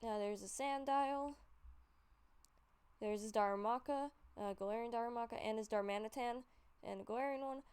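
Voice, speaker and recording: Smooth-voiced woman with a stuffy nose. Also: fairly high pitch, normal speed, a little mellow. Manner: rambling, frequent fillers and self-corrections. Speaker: YouTuber showing a game collection. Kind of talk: casual commentary over gameplay.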